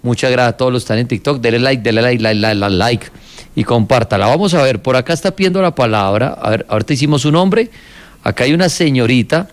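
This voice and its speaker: A Colombian man runs a live stream in a relaxed, slangy manner. His voice sits at an ordinary middle pitch, and he jokes easily with his co-hosts and callers. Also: fast talker. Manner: loose, joking, slangy. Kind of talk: casual live stream chat.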